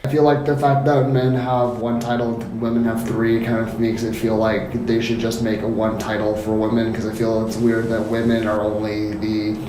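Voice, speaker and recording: A man's voice: on the low side, level tone, normal speed, hardly any pauses. A man being interviewed, casually sharing his opinion. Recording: echoey room, clear background noise.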